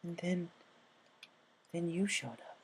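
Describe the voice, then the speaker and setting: A man voicing a traumatized young female pegasus pony in a fan story, halting, tearful and broken. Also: high-pitched, creaky, husky, voice pushed up, exaggerated voice. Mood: shaken, haunted. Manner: halting, sobbing, stammering delivery.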